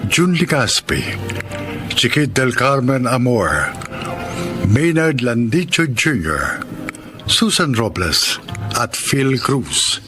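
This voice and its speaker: Casting: male Filipino radio announcer, mid-pitched, slow declamatory credit read with music bed. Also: sing-song.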